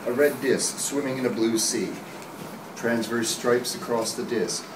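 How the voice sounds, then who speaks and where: A man's voice: mid-range voice, steady tone, unhurried, natural voice. Man playing a skeptical professor, distant and echoey. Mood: measured, dismissive. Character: measured, skeptical male astronomy professor being interviewed. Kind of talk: 1930s radio play read from script. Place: hotel meeting room at a convention panel. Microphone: camera across the room. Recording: echoey noisy room, distant camera mic.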